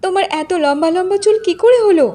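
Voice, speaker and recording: A woman's voice: very high-pitched, animated, fast talker, dark tone, pitched above the performer's own voice. A woman voicing a vain, bossy young village wife in a cartoon, demanding and boastful as she nags. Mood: suspicious, surprised. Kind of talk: Bengali cartoon voice acting. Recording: music bed under the voice.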